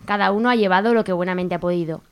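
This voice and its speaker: A woman's voice: mid-range voice, very fast, clean tone. A woman reading scripted narration for a radio soap.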